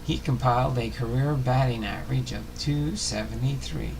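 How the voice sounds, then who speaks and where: A man hosting a livestream, talking casually and reading aloud in a halting way with uneven phrasing. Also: mid-range voice, creaky, noisy background. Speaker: host of a baseball biography livestream.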